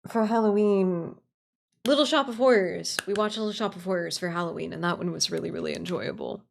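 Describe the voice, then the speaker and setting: A woman's voice: lowish voice, animated, a little fast, creaky. A woman chatting casually on a livestream, answering viewers in a gushing way and repeating phrases for emphasis. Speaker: livestream host.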